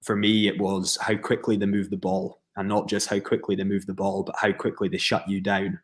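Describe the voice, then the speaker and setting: A Scottish footballer answers at length on a podcast, speaking in a steady, reflective way with many fillers and restarts. His voice sits low and barely moves in pitch. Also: normal speed. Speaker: professional footballer guest.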